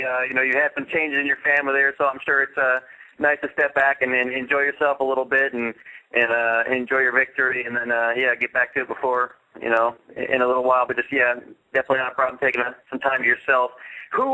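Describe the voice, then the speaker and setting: A man's voice: medium pitch, bright, forceful tone, poor audio. A man co-hosting a radio interview, asking long rambling questions full of restarts. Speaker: radio show co-host.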